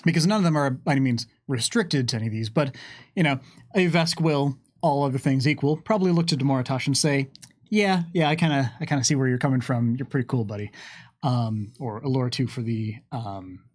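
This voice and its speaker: A man's voice: mid-range voice, brisk, a little mellow. A man with a mid-range voice hosts a casual livestream Q&A. He reads questions aloud and works through his answers hesitantly, thinking as he talks. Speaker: game lore panelist reading viewer questions.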